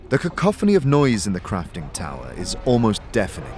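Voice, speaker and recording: A man's voice: on the low side, wildly swooping pitch, fairly quick, a little mellow. A man giving dramatic, scripted second-person narration for a fantasy audio drama. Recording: music behind the voice.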